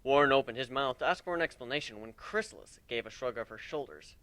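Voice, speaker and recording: A man's voice: mid-range voice, quick pace, sharp tone, no room sound. A man narrating a fan fiction story in a scripted, dramatic reading style.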